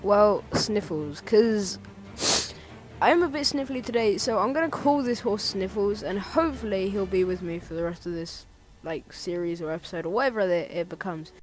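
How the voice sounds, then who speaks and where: A man giving casual, rambling let's-play commentary, playful and easily excited. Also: high voice, moderate pace. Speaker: gameplay commentator. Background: game music.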